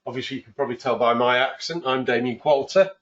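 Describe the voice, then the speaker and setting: Man, northern English accent, middle pitch, muffled lo-fi webinar sound, informal hosting, chatty hesitant phrasing. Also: fast talker, a bit bright. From north of England. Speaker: webinar host. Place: office. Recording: dull lo-fi webinar audio.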